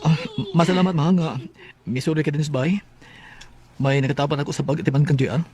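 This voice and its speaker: A man acting in a Filipino Bisaya radio drama as a gentle, hesitant, pleading husband and devoted father. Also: fairly high pitch, steady tone, fast, natural voice. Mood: subdued, resigned.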